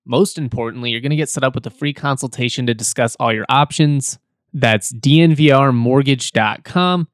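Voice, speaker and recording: A man hosting a sports podcast in casual chat, his voice slightly hoarse, chatty and repeating words as he goes. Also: medium pitch, moderate pace, no echo, studio quality. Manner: chatty, repeats words, laughs now and then. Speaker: podcast host.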